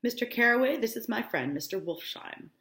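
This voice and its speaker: A woman's voice: a little high, animated, brisk, a bit bright, roomy sound, pitched above the performer's own voice. A woman voicing a confiding, self-assured rich young man with courtly manners, in a novel read aloud. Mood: breezy, confident.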